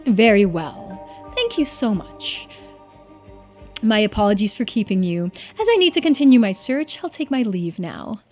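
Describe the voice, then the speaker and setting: A woman's voice: medium pitch, sing-song, a little slow, darkish tone, soft background music. A woman voicing a haughty, easily offended businessman with pompous, formal manners in a video game. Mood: smoothly polite. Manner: pompous, formal, indignant phrasing. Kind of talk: video game character voice-over.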